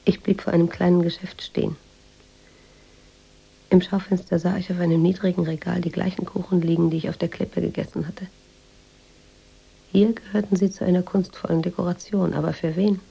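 Haunted woman writer for radio drama: low, gravelly fry, intimate and introspective, music beneath. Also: dark tone, poor audio. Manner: intimate, often whispered, broken introspective phrasing. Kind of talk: radio drama monologue and dialogue.

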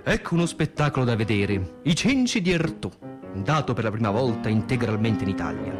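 A man reading scripted radio theatre narration in an expressive, deliberate delivery. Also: mid-range voice. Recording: music under the voice. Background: music.

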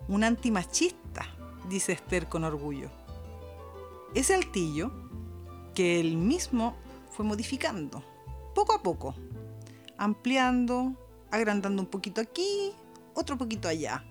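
A woman's voice: mid-range voice, sing-song, measured pace, pauses often. A Chilean woman narrating a scripted podcast story in a dramatic way, lingering on her phrases. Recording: music behind the voice. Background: music.

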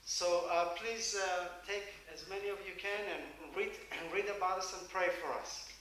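Bright high-voiced Hebrew-speaking preacher. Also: male voice, fairly even intonation, far from the mic, slight background noise. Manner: speaks in short phrases, pausing for translation. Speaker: guest preacher. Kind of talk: sermon in English with live interpreter. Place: church. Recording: echoey church hall, mic heard from afar.

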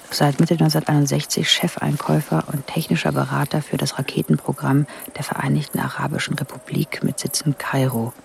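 An actress playing an earnest, quietly troubled woman investigating secret files in a radio drama, in a hushed, breathy voice. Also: deep voice, slight background noise. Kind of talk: radio drama dialogue and reading aloud. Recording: studio radio drama with music underneath. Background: music.

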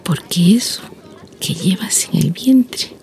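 An actress playing a lonely, wistful old blind village grandmother in a radio drama monologue, breathy and creaky, reflecting with sighs and long pauses. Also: mid-range voice, expressive, a little mellow, in a whisper. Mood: knowing, mysterious. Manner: slow reflective storytelling, sighing interjections, long pauses.

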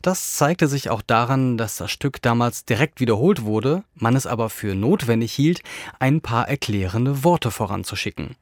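A man's voice: medium pitch, animated, moderate pace, no echo. Male radio presenter, dry close sound. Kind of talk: scripted radio introduction.

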